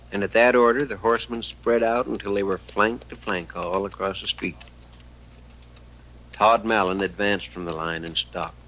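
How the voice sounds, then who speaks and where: An American actor as the grave narrator of an old radio western, deliberate and dramatic. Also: male voice, sits low, fairly bright tone, slight background noise, rough recording. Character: grave storyteller of a western tale. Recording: old radio recording with music.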